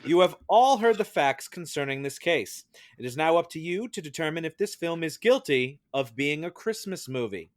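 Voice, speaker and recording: A man's voice: fairly high pitch, expressive, normal speed. A man presiding as judge over a comic podcast trial, speaking in a dryly official, hesitant way. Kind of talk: semi-formal comic hosting.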